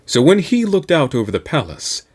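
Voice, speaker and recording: A man reading a science fiction novel aloud as an audiobook narrator, in a measured, dramatic storytelling delivery. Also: on the high side, sing-song, darkish tone, breathy edge. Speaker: audiobook reader.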